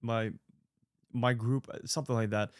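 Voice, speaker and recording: A man's voice: medium pitch, steady tone, moderate pace, no room sound, studio quality. A man in his late twenties giving casual, earnest advice to viewers, rambling with fillers and restarts. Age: 20s. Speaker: online creator answering viewer mail.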